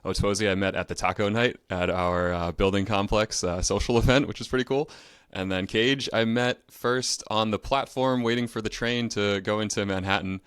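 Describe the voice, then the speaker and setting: Male podcast host, very deep voice, smooth tone, dry sound, conversational, casual. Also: steady tone, normal speed, a bit bright, dry recording.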